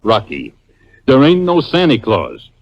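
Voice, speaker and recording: Gruff radio cop: blunt, sardonic, deadpan, medium pitch, muffled vintage sound. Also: male voice, a little slow, exaggerated voice. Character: gruff, sardonic male police sergeant. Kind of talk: 1950s radio detective drama acting.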